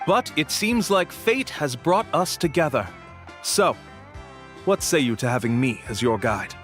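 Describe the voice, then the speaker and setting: A man playing a smooth-talking scammer who poses as a tour guide in a video game, with florid, persuasive patter. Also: a little high, expressive, a little slow, fairly bright tone. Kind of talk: animated video game dialogue. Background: music.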